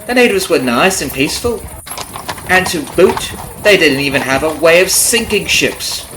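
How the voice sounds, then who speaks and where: Vengeful male carriage driver, acted by a man, ASMR roleplay. Slightly high, very lively pitch; falsely friendly, taunting, menacing underneath. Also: moderate pace, noisy background, lightly acted. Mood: knowing, mocking. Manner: taunting, conversational with rhetorical tag questions. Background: clip-clopping horse and carriage ambience.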